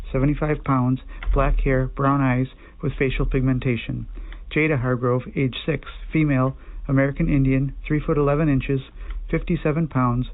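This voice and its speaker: A synthetic-sounding man reading a formal scripted alert bulletin in a measured, impersonal way over tinny weather radio. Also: medium pitch. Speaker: automated emergency alert announcer. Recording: tinny band-limited weather radio broadcast.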